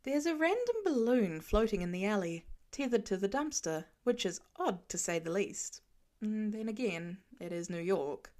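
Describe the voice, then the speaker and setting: A woman narrating a fan fiction story aloud with expressive storytelling. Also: mid-range voice, normal speed. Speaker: podfic reader.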